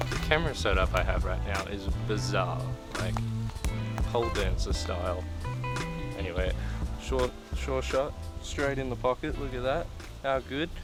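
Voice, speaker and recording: A man's voice: on the low side, vocal fry. A young Australian man vlogging casually outdoors on a photo walk, chatty and thinking aloud as he shoots. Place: outdoors in a park by water. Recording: loud background music.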